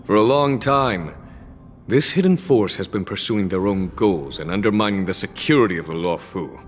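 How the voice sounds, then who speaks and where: A man voicing a calm, low-voiced general. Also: moderate pace, in a large hall, soft background music, close to an everyday voice. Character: calm, composed male general in his prime. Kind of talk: game cutscene voice acting.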